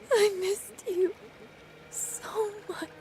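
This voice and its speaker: An actress playing a desperate, stubborn young woman in a game cutscene, speaking in an anguished, halting way. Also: very high voice, a little hiss or hum, full character voice. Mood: tender. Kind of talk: dramatic game cutscene acting. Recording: loud dramatic music underneath.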